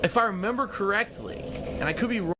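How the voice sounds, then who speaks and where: A man's voice: high-pitched, lively intonation, fast, noisy background. A man in his thirties rambling uncertainly and swearing while commentating his own gameplay live. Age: 30s. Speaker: streamer.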